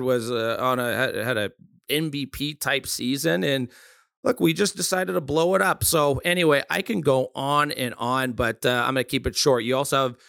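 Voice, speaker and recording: A man's voice: mid-range voice, vocal fry, a bit bright, no echo, clean studio sound. A man hosting a sports interview, asking loose, rambling questions full of restarts. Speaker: podcast host.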